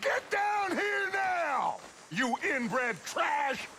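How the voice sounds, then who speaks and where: An actor playing a grandiose, vengeful outlaw gang leader in an emphatic, oratorical game performance. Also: male voice, very high voice, big pitch swings, very slow, vocal fry, pushed and bright, slight background noise, heavily acted. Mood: furious. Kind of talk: dramatic video game acting. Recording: outdoor horse hoofbeats and ambience behind.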